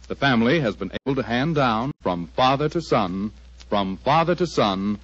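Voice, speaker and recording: A man's voice: mid-range voice, slightly rough. An American man announcing in 1940s radio style, with polished, enthusiastic salesmanship. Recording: dull old-time radio recording.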